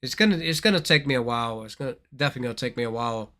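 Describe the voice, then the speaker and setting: A man's voice: medium pitch, lively intonation, racing, pushed and bright. A man from Thailand hosting a casual gaming livestream, rambling and repeating his words as he talks straight to his viewers.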